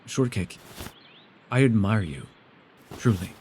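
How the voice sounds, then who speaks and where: Man playing a guarded, halting ancient elf recluse. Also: lowish voice, very animated, a little mellow, a little hiss or hum. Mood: tender, regretful. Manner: halting, stammering, earnest. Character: reclusive centuries-old male elf, guarded, awkward, self-doubting. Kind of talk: fantasy ASMR audio roleplay.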